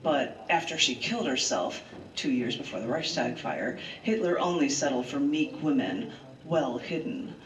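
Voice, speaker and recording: A woman's voice: extremely low pitch, very animated, moderate pace, reverberant. Woman, live poetry reading, dramatic rhetorical delivery, distant mic, noisy room. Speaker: poet and open mic host. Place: large bookstore, indoors, live event.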